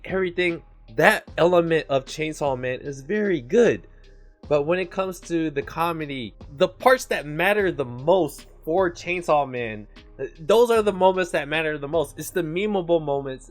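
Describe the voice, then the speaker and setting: Chatty man with a raised voice. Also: a little high. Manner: chatty, leans on "like" and "you know"; calls his own voice monotone. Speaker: anime commentary host. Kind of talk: casual opinion commentary.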